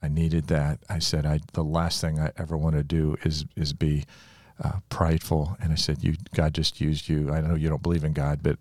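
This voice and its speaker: Deep-voiced older man, chatting about faith. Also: little pitch movement, normal speed, darkish tone, no room sound. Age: 60s or older. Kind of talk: casual religious conversation with personal testimony.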